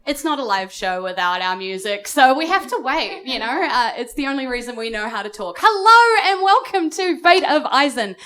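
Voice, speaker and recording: An excited, friendly woman casually introducing a live show on stage as game master and host. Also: high voice, normal speed, hardly any pauses. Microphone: stage microphone.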